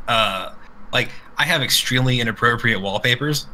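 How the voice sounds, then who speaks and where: A man's voice: mid-range voice, normal speed, pushed and bright, slight background noise, poor audio. A man co-hosting a podcast in casual, crude banter, rambling and irreverent, swearing freely. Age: adult with children. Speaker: podcast co-host.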